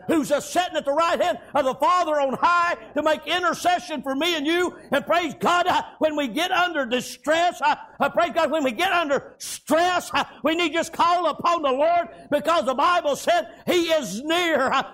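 A man's voice: very high-pitched, normal speed, few pauses, sharp tone. A man preaching a fervent revivalist sermon, impassioned and exhorting, punctuating phrases with amen and praise God.

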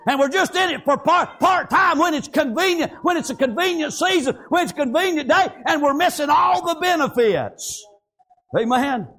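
High-pitched Southern preacher man. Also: a bit bright. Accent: Southern American. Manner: exclamatory, punctuated with amens and praises; folksy, repetitive phrasing. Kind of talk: fervent revivalist church sermon.

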